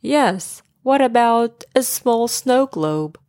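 A woman voicing a thoughtful, gentle male polar bear with a slow, pondering delivery in a bedtime story. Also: fairly high pitch, lively intonation, no echo, clean studio sound.

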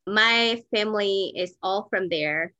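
A woman's voice: mid-range voice, slow, pushed and bright, dry recording, lo-fi sound. A woman from Mexico, a native Spanish speaker, telling personal stories as an interview guest in an informal, candid way. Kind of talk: conversational personal storytelling.

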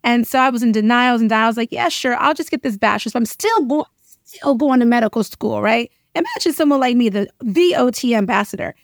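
A middle-aged woman, an interview guest, telling personal stories in an animated, emphatic, casual way and often checking in with "right?". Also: fairly high pitch, fairly quick, no room sound, studio quality. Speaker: interview guest, occupational therapist and educator.

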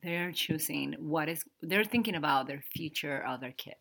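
A woman in her thirties from the Dominican Republic, speaking English with a Dominican Spanish accent as a podcast cohost, hesitant and pausing to find words, often laughing. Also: low voice, vocal fry, a bit bright. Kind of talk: casual podcast conversation.

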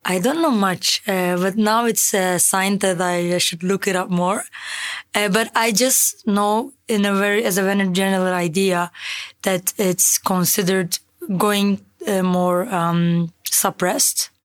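A woman's voice: medium pitch, fairly even intonation, a little slow. Hesitant woman seminar participant. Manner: hesitant, thoughtful.

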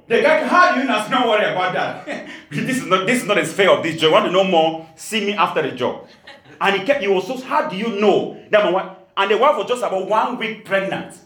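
A man's voice: high voice, fairly bright tone. A man from Cameroon preaching a sermon as pastor, emphatic, with laughing asides. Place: church hall. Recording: echoey church hall.

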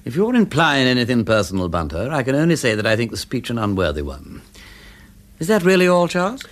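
A man playing a breezy upper-class English gentleman detective in a radio drama, jaunty and drawling with period slang. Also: medium pitch, huge pitch swings, a little fast. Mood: bantering.

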